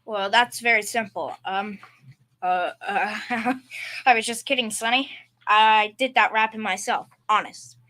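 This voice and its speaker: A woman's voice: mid-range voice, fairly even intonation, a little slow, bright, forceful tone. A young female performer playing a blustering, secretly scared father with folksy, hesitant speech in a school old-time radio comedy. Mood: joking bluster. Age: young teen or child.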